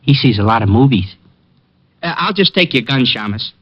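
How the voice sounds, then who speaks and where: Sly, mocking gangster. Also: male voice, medium pitch, lively intonation, normal speed, slightly rough. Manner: sly, mocking tough-guy drawl. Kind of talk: 1950s radio acting. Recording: dull lo-fi old radio broadcast.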